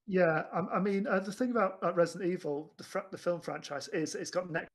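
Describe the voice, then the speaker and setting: A man's voice: sits high, fairly quick. A man chatting casually as a podcast host about films, with a hesitant delivery full of repeated restarts. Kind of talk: casual chat reviewing films.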